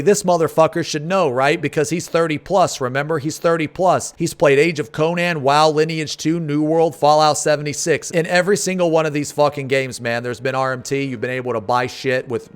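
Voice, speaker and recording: A man in his thirties livestreaming, reading posts aloud and commenting on them in a sarcastic, incredulous, profane manner. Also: mid-range voice. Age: 30s. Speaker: game streamer.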